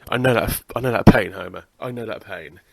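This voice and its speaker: A man's voice: on the low side, quick pace, vocal fry, a bit bright. A young British man with a cold and a blocked nose, casually commentating a video game playthrough, chatty and swearing now and then. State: congested with a cold. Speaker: gaming channel host. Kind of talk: casual let's-play commentary.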